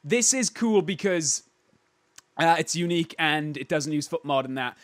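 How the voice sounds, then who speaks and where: A young man with a hoarse, worn-out voice, pitched a touch higher than most men. He hosts a casual replay showcase, rambling, joking at himself and restarting sentences. The sound is dry and close, with no room around it. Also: lively intonation, moderate pace, dry recording. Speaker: host.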